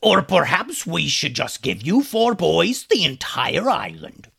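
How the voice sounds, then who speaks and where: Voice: medium pitch, huge pitch swings, slow pace, creaky, a bit bright, slightly rough, no room sound, clean studio sound, pitched above the performer's own voice, exaggerated voice. A storyteller voicing a jeering, villainous pirate captain in a children's story. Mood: mocking. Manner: mocking, jeering. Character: gloating, hearty male pirate captain, bearded and villainous.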